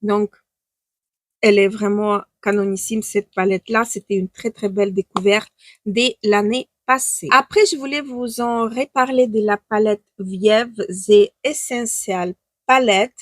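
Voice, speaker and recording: A young woman with a Ukrainian accent, chatting casually about eyeshadow palettes on her beauty channel, pausing often with "euh" and restarting her sentences. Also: medium pitch, fairly even intonation, no echo. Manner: chatty, with frequent hesitations and restarts. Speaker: beauty vlogger. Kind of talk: casual makeup review.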